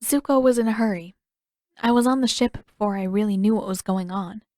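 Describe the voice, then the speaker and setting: A woman's voice: mid-range voice, fairly quick, darkish tone, no echo, clean studio sound, natural voice. A woman voicing an earnest, sheepish young boy in an audiobook, hesitant and a little defensive. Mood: earnest, apologetic.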